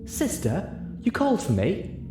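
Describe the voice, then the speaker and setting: Man putting on a high, girlish pony princess. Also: wildly swooping pitch, a little slow, music in the background, exaggerated voice. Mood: eager. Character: cute, excitable young pony princess, eager little sister. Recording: echoey room with clear background noise.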